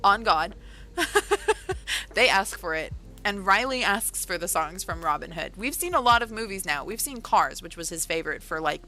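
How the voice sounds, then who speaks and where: Chatty rambling woman with a bright tone. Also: low voice, slight background noise. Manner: rambling, chatty, self-correcting with many fillers. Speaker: author and livestreamer. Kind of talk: casual livestream chat.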